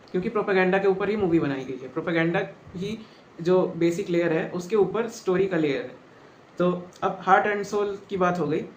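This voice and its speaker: A man giving a spoken film review, measured and thinking aloud as he goes. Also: sits high. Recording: roomy, dull sound with faint noise.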